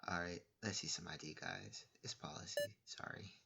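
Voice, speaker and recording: A man playing a nosy, muttering store cashier in an audio roleplay, quiet and distant. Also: low voice, normal speed. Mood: bored, prying. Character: nosy, mumbling liquor store cashier, male. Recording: quiet, distant, clearly noisy.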